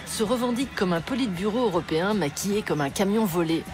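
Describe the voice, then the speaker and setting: A woman's voice: mid-range voice, expressive, fast talker. A woman reading scripted documentary narration for a culture magazine, with a wry, playful touch. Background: music under the voice.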